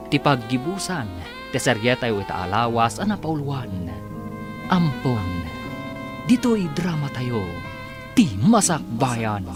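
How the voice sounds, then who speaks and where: A male radio drama announcer reads scripted narration. His mid-pitched voice is lively, set over a clear music bed.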